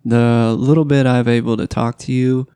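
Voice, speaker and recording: Gravelly man, chatty host. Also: mid-range voice, moderate pace, dry recording. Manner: chatty, animated, slangy. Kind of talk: casual interview.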